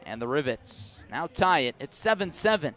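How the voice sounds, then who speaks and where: An American man doing live radio baseball play-by-play, measured in description and lifting his voice for the action. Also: a little high. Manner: measured description rising to excited calls. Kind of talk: live radio sports commentary.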